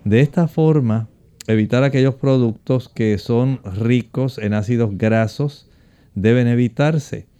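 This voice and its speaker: A man calmly explaining nutrition and exercise on a call-in show, speaking directly to the listener. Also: mid-range voice, unhurried, clean tone. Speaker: health expert guest. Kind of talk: explaining to callers.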